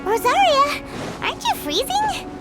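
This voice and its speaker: A woman voicing a tiny childlike pixie in a video game, squeaky, excitable, naive and quick to take offence. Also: over loud music, exaggerated voice. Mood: curious, excitable. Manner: speaks of herself in third person, exclaiming. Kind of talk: cartoonish video game voice acting.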